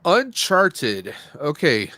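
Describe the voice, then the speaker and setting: A man's voice: a little high, wildly swooping pitch, extremely slow, pushed and bright, no echo. A man hosting a casual movie review podcast, speaking in a blunt, opinionated and rambling way. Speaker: podcast host.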